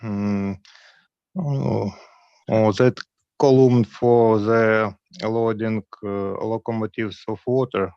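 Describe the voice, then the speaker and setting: A man from Ukraine with a Ukrainian accent, speaking halting, nervous English full of pauses and fillers over a video call. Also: lowish voice, steady tone, warm tone, clear, resonant tone, no room sound. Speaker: guest modeler. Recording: dull lo-fi call audio.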